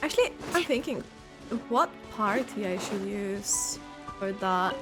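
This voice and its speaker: A woman streamer reacting to a video game, chatty and excitable, laughing and cursing as she plays. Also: medium pitch, measured pace, over music. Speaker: gaming streamer. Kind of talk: casual game reaction commentary.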